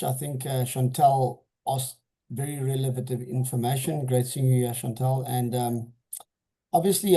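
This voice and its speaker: Man on zoom with a really dark mellow voice, calm, friendly. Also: medium pitch. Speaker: shareholder speaking on the call. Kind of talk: casual remarks on a video call. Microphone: video call.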